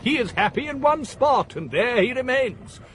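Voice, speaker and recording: A man's voice: extremely high pitch, animated, normal speed, a bit bright, slight background noise. An actor playing a gloomy male philosopher who declaims on the degeneracy of mankind in a 1980s radio drama. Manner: declamatory, pessimistic.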